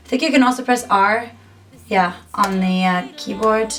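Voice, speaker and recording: A woman's voice: mid-range voice, moderate pace, slight background noise. A young woman giving a casual, upbeat tutorial to camera from a small home music studio, chatty and full of "like". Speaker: musician and YouTuber.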